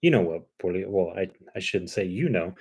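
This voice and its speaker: A man's voice: low voice, expressive, fairly quick, a little mellow, breathy edge. A man with a Cuban accent, hosting a livestream in easygoing chat, rambling with plenty of ums and uhs. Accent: Cuban Spanish. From Cuba. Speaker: livestream host. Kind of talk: casual chat.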